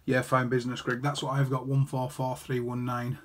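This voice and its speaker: A man's voice: medium pitch, monotone, brisk, heavy background noise. A man chatting casually as an amateur radio operator, reading out callsigns and figures. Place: indoor room beside a desktop transceiver.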